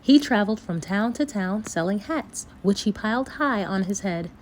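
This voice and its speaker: A woman storyteller reading a folktale aloud for children, with expressive, deliberate phrasing. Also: medium pitch, slight background noise.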